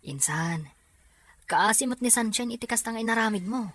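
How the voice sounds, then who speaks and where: A woman acting a frank, protective young male cousin with a husky voice in a drama serial. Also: on the high side, lively intonation, normal speed, a bit bright, no echo. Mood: reproachful.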